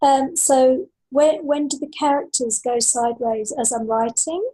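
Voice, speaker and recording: A woman's voice: high-pitched, unhurried, dark tone, poor audio. A woman author chatting on a panel in a hesitant, thinking-aloud way. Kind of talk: casual panel chat.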